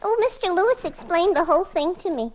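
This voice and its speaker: A woman's voice: very high voice, animated, fast. A woman playing a scatterbrained, sweetly oblivious young woman in a 1940s radio comedy, with a guileless, ditzy manner. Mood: ditzy, innocent. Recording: noisy, crackly 1940s radio recording.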